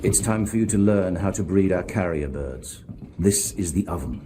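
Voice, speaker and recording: A man playing a cold, calculating overseer in a video game, instructing in a measured, quietly threatening way. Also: sits low, expressive, background noise. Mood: brisk, instructing. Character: cold, calculating male overseer, menacing and commanding. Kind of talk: video game character acting.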